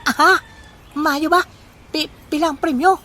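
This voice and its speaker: Squeaky, eager greedy villager. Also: male voice, steady tone, measured pace, sharp tone, full character voice. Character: eager, greedy, excitable male village rooster owner. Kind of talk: comedy audio drama dialogue.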